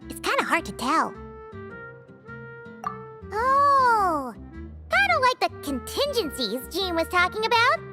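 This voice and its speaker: Squeaky, very high-pitched excitable fairy. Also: female voice, slow, pauses often, over music, heavily acted. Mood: puzzled. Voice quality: squeaky, childlike. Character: small childlike fairy companion, excitable, nosy and frank. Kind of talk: video game voice acting.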